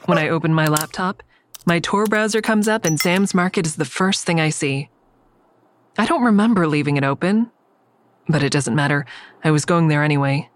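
An actress playing an observant, wry, secretive woman who narrates her own story in an audio drama, measured and descriptive. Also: low voice, slight background noise, natural voice. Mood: wry, uneasy. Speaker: narrator and lead character.

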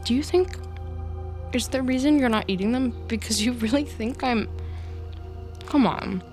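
A woman's voice: on the high side, normal speed, a little mellow, faint music behind, close to an everyday voice. Woman playing a nervy, scheming office worker. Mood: flustered. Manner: chatty, hesitant, mild minced swearing. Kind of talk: naturalistic audio drama acting.

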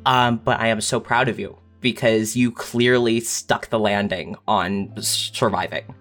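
A woman talking tenderly and earnestly as a writer in a heartfelt panel conversation, choosing words with deliberate care. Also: extremely low pitch, fairly even intonation. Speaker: audio fiction writer on a panel. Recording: music playing behind the voice.

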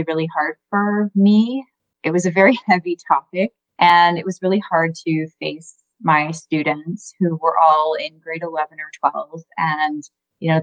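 A woman's voice: sits low, no room sound, rough recording. A young adult woman, a campaign organizer, earnestly reflecting on how she came to her activism. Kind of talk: interview answers.

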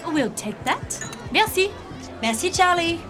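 A woman's voice: very high voice, very animated, measured pace, over music, exaggerated voice. An actress playing an affectionate, flirtatious woman in a naturalistic audio drama. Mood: cheerful. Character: affectionate, flirtatious woman, tender and bold with her friend.

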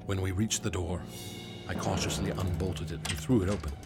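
An actor playing an anxious, haunted doctor in radio drama, a reluctant accomplice who tells of horrors in florid, grave words. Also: male voice, deep voice, steady tone, moderate pace, over loud music. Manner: grave, florid storytelling, fretful in dialogue. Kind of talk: audio drama in old-time radio style.

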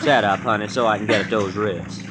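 An actor playing a folksy young sailor sidekick in an old radio drama, talking in a drawl. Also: male voice, fairly low pitch, fairly even intonation, a little fast, close to an everyday voice. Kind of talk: 1940s radio acting. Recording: old radio recording with hiss.

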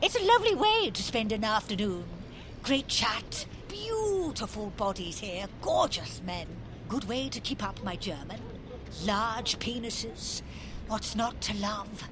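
A woman's voice: fairly high pitch, sing-song, unhurried, noisy background. An actress playing a flamboyant, bossy old grandmother with a theatrical, imperious, sweary way of talking in an audio drama. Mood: breezy, saucy. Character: flamboyant, bossy, hedonistic old grandmother, a former squatter radical. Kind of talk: audio fiction acting.

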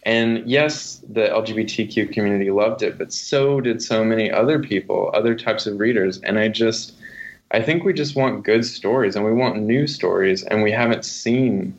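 A man's voice: fairly low pitch, moderate pace. A man speaking conversationally as a guest author being interviewed, on a slightly dull recording.

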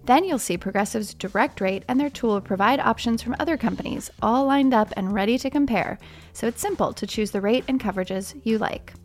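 Casting: female ad announcer, fairly high voice, scripted read over light music. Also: fairly quick. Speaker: advertisement announcer.

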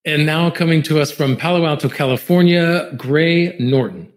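A man hosting a virtual interview, introducing guests and asking questions. He keeps affirming his guests as they answer. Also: on the high side. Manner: affirming, quick to agree. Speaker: interview host. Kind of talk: virtual red carpet interview.

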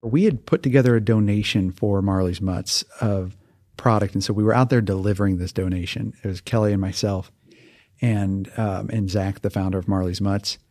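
A man from Hawaii, a podcast guest telling relaxed, casual stories and laughing easily. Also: fairly low pitch, normal speed, dry recording, clean studio sound. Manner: relaxed, laughs often, restarts words. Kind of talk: casual interview storytelling.

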